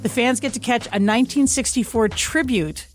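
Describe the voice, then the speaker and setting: A woman's voice: a little high, normal speed, soft background music. Chatty female morning radio co-host. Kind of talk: casual banter on a morning show. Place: radio studio. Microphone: studio broadcast mic.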